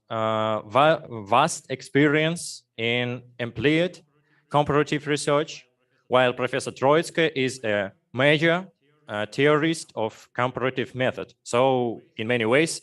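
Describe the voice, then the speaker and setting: Slow, formal Russian-accented moderator. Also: male voice, medium pitch, a bit bright. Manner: formal, halting, with many fillers. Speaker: conference moderator. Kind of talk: formal welcome address.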